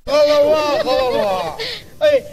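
Radio drama: tipsy, blustering Cossack reveler; slow, rambling, exclamatory, with a noisy crowd behind. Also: male voice, very high-pitched, very animated, creaky, full character voice. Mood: boastful, blustering. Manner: rambling, exclaiming, repeating himself. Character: tipsy old village Cossack carouser, boastful and merry. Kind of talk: theatrical radio play acting.

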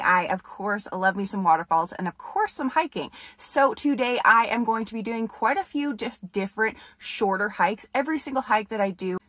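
A woman's voice: mid-range voice, sharp tone, no echo. Young woman, enthusiastic travel vlogger, selfie phone mic, inside a car. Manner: bubbly, enthusiastic. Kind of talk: upbeat travel vlog talk to camera. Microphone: phone camera at arm's length.

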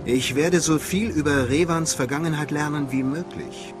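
A man's voice: a little high, moderate pace, music in the background, close to an everyday voice. A man playing a cool, ambitious young Sith acolyte in a video game, terse and self-assured. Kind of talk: video game cutscene acting.

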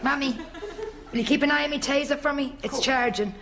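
A woman's voice: sits high, fairly quick. A woman playing a frank grown-up Irish daughter in a studio sitcom. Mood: casual. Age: adult. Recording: loud studio audience noise.

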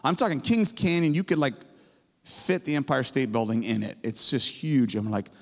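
A man in his forties, a professor giving an energetic chapel sermon on stage with a handheld mic, excitable and booming, full of storytelling. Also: normal speed. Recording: hall reverb.

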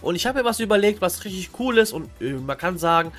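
A man's voice: high voice, lively intonation, fast talker, a bit bright, soft background music. A man hosting a vlog in casual, enthusiastic commentary, addressing viewers like friends. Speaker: YouTube host, flea market collector.

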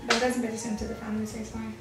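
A woman's voice: on the high side, completely flat delivery, very fast, loud music behind the voice. Young Angolan-born woman, roomy distant sound. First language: Portuguese. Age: young adult. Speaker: host quizzing her partner. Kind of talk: casual quiz chat. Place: small indoor room with gray walls, seated.

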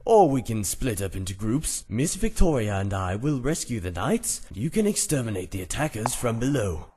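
A man plays a composed male butler in an anime parody. He speaks formally and politely with droll, lively intonation, over some noise. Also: mid-range voice, normal speed, close to an everyday voice. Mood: composed concern. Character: courteous, composed male butler serving a household. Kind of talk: anime parody dub acting.

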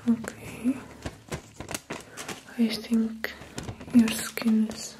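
A young woman doing a soft-spoken ASMR medical role play, half whispering in a gentle, soothing manner with pauses. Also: on the high side, completely flat delivery. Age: young adult. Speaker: ASMR performer playing a dermatologist. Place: small room indoors.

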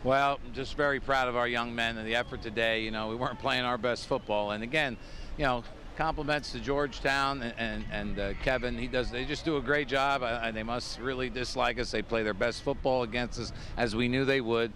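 A man's voice: medium pitch, fairly even intonation, fairly quick, sharp tone, slight background noise. A male head football coach giving a plainspoken postgame interview, with lots of fillers and restarts. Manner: plainspoken, repeats himself, many fillers.

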